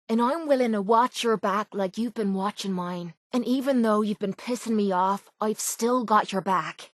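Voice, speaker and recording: A brash young Irish fighter woman from a video game, voiced by an actress, with a medium-pitched voice. She is blunt, slangy and cheeky, rough-edged but loyal. The sound is dry and clean of any room. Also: no echo, lightly acted. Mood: frank. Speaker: video game companion character. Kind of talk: video game character voice lines.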